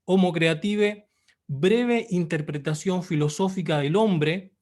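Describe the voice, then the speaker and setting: Chilean guy in his 30s, kinda high voice, formal and slow, lots of eh pauses. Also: a little mellow. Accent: Chilean Spanish. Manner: formal and measured, with pauses and "eh" fillers. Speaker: author presenting his book. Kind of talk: online book presentation.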